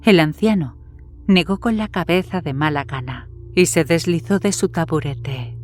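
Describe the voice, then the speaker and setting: A woman narrates a horror story in a low register. Her delivery is scripted storytelling, set over soft background music. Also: normal speed.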